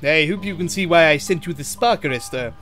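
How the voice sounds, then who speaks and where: A man's voice: on the high side, lively intonation, brisk, slight background noise, natural voice. A man playing a stern, authoritative railway controller in a children's story, firm but fair.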